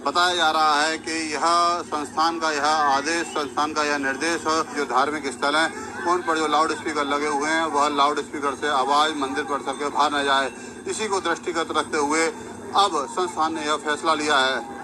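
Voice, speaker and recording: A man's voice: a little high, steady tone, moderate pace. A man giving a formal news report from the field, repeating phrases as he goes. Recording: clear outdoor street noise.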